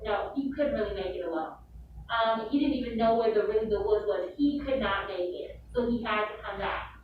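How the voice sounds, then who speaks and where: A woman's voice: medium pitch, normal speed, muffled recording. A woman teacher reading aloud and leading a discussion with her class. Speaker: middle school teacher. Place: indoor classroom. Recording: very echoey classroom, distant mic, noisy.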